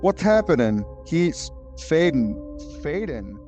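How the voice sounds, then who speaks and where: A man's voice: fairly high pitch, sing-song, slow, a little mellow, soft background music. A man voicing a blunt, easygoing creature sidekick with a folksy manner in a fantasy audio drama. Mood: confused.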